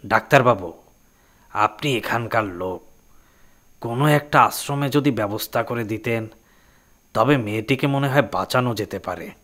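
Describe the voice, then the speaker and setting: A man's voice: mid-range voice, normal speed, pauses often, fairly bright tone, lightly acted. A man acting a worried, deferential man pleading for help in an audio drama.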